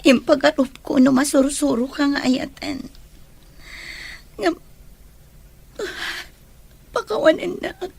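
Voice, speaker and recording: A woman with an Ilocano accent acting a guilt-ridden, soft-hearted young woman in an audio drama, speaking apologetically. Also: high voice, slow pace, vocal fry, pauses often, full character voice. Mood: remorseful.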